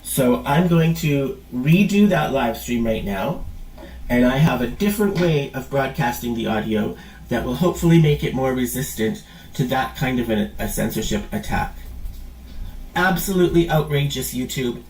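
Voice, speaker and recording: A man's voice: mid-range voice, expressive, normal speed, darkish tone. Indignant man in an echoey room. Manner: indignant, swearing, repeats words. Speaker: channel host. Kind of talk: angry casual rant to camera. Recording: roomy sound from a distant mic.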